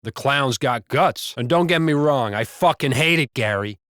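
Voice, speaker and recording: Video game cop, played by a man: American, mid-pitched, blunt and profane wisecracker, casual delivery, very dry sound. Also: expressive, normal speed, a bit bright, dry recording, natural voice. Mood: grim, weary. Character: foul-mouthed, gossipy male American cop on duty. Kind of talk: video game character banter.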